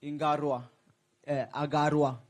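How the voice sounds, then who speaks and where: A man interpreting a sermon live, echoing each phrase quickly with frequent self-corrections. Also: a little high, little pitch movement. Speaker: church interpreter.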